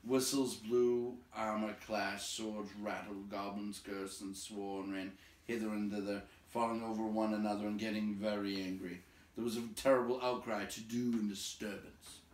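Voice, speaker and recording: A man reading a story aloud in an expressive, deliberate, dramatic narration. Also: on the low side. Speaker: storybook reader. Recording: roomy, distant, faint background noise.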